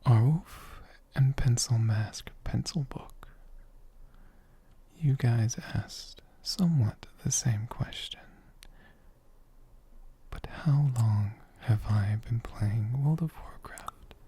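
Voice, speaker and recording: An ASMR host whispering softly and gently, with a breathy voice and deliberate pauses. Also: mid-range voice. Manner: gentle, slow, deliberate, with pauses mid-sentence. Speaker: host of an ASMR channel answering viewer questions. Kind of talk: soft-spoken whispered ASMR.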